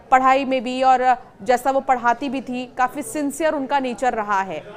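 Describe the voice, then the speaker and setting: A woman news presenter reporting in an emphatic, explanatory way, posing questions as she goes. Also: sits high. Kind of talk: news reporting to camera, semi-scripted explainer.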